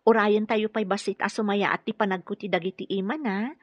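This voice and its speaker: A woman's voice: medium pitch, fairly even intonation, brisk, no room sound, lo-fi sound, natural voice. A woman with an Ilocano Filipino accent playing a kind, dutiful caregiver in a radio drama, polite and earnest. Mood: polite, firm.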